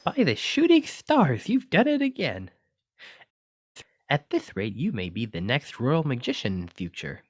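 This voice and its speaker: A man's voice: medium pitch, wildly swooping pitch, measured pace, no room sound. A man playing a clumsy, kindly wizard teacher in an ASMR roleplay, with theatrical, old-fashioned, encouraging phrasing. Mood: delighted, encouraging. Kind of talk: ASMR roleplay addressed to the listener.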